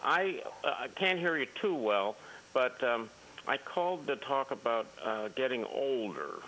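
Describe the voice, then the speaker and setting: A man's voice: medium pitch, expressive, a little slow, sharp tone, low-quality recording. Man in his sixties calling in. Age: 60s. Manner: rambling, storytelling. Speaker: caller. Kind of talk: phone-in call. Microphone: phone.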